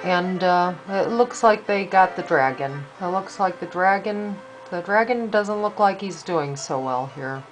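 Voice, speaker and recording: An older woman, a grandmother reading a storybook aloud to a child in an expressive, deliberate storytelling manner. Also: lowish voice, faint music behind, poor audio.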